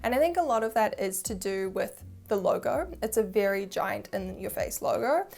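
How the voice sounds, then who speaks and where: A woman's voice: mid-range voice, expressive, moderate pace, vocal fry, darkish tone, soft background music. A young woman with a New Zealand accent, chatting candidly to camera as she reviews a handbag, self-deprecating and open.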